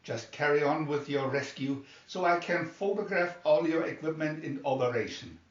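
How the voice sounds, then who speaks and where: A man's voice: fairly high pitch, moderate pace, close to an everyday voice. Man putting on a gloating villain, roomy sound. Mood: gloating, scheming. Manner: gloating, theatrical. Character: gloating male villain spy, scheming and menacing. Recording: dull, roomy, distant microphone.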